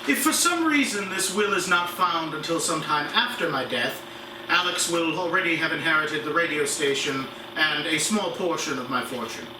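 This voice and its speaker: A man's voice: on the high side, animated, normal speed, lightly acted. A man playing a wealthy father formally reading out his riddling will, in 1940s radio play style. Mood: formal, mysterious. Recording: roomy, distant, noisy home-style recording.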